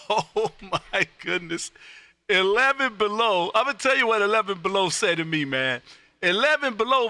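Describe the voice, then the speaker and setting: A loud, exuberant man hosting a casual amateur radio stream, chatting and calling, repeating himself for emphasis. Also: high-pitched, no echo. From Texas. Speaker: amateur radio operator and live stream host.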